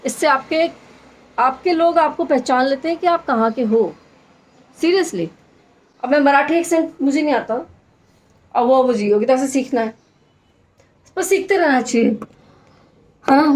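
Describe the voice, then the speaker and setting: Woman, 30s, home vlogger with a light Hadoti Rajasthani lilt, easygoing and repetitive, fairly high voice. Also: darkish tone, rough recording. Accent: Hindi with a mild Hadoti Rajasthani tone. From Rajasthan, Hadoti region. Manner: chatty, repeats phrases for emphasis, mixes in English words. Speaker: vlogger, homemaker. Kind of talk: casual chatty daily vlog.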